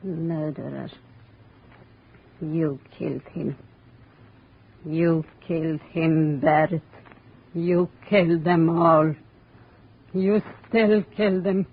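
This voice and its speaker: Sobbing grieving widow, gravelly voice, slow bitter words, tinny old radio sound. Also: female voice, low-pitched, fairly even intonation, exaggerated voice. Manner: bitter, accusing. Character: grief-stricken widow of a steelworker, accusing. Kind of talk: 1950s radio drama acting.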